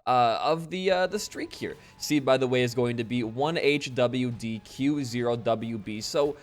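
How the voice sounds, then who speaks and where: A laid-back young man from Wisconsin, a bit hoarse after losing his voice, rambling casually while commenting on his gameplay. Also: mid-range voice, unhurried. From Wisconsin, USA. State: slightly hoarse from voice loss. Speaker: gaming channel host. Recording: faint game music behind.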